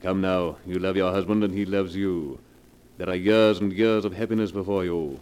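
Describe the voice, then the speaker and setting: An actor playing a smooth, mockingly polite blackmailer in a taunting 1940s radio melodrama style. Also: male voice, low voice, steady tone, normal speed, darkish tone, natural voice. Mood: smug, taunting. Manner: suave, taunting, mock-courteous. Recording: noisy vintage 1940s radio recording.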